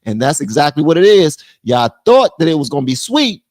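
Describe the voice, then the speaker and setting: A man's voice: on the high side, a little fast, no echo. Male stream host, blunt, slangy, dry close sound. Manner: animated, drops into mock voices. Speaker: livestream host. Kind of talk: political commentary chat.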